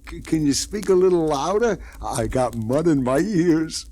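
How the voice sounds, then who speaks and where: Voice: mid-range voice, expressive, measured pace, dark tone. An actor playing a childish, carefree, mud-loving boy piglet on a 1960s children's storybook record. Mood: sheepish.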